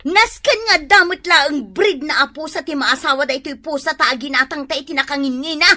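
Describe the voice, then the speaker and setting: An actress in a radio drama playing a fussy middle-aged mother with an Ilocano accent who calls out loudly and exclaims often. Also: high voice, fairly quick.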